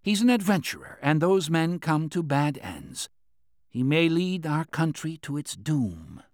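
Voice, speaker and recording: A man's voice: on the high side, sing-song, measured pace, a little mellow, dry recording, clean studio sound, voice pushed up. A man voicing a fearful older Chinese minister who pleads urgently with a colleague in an audiobook.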